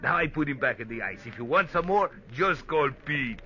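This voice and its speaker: Excitable cantina boss, bright voice. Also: male voice, fairly high pitch, moderate pace. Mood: jovial, boastful. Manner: excitable, wheedling, broken English. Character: jovial, pushy male cantina proprietor, heavy-set. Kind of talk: 1940s radio drama acting. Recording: old muffled radio recording.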